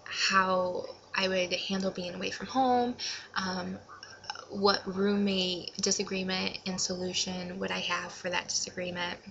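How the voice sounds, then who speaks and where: Bright-voiced young woman, lo-fi vlog. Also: on the low side, level tone, measured pace, vocal fry, a little hiss or hum. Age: young adult. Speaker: vlogger. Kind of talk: casual advice vlog to camera.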